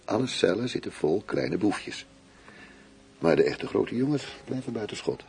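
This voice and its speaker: An actor playing a worldly, self-assured German undercover police chief, teasing and intimate in a radio play. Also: male voice, fairly low pitch, animated, normal speed, creaky, a little mellow, a little hiss or hum. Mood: confiding, boastful.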